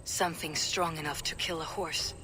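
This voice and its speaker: A woman playing a stoic, weary Viking warrior leader in a video game, speaking in a terse, grave, measured way. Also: sits low, fairly even intonation, close to an everyday voice. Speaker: lead game character. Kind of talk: video game cutscene acting. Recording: background ambience noise.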